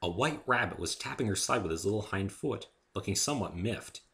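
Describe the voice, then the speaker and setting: A man narrating a first-person story as an expressive dramatic reading. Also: lowish voice, a little fast, vocal fry. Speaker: amateur narrator and author.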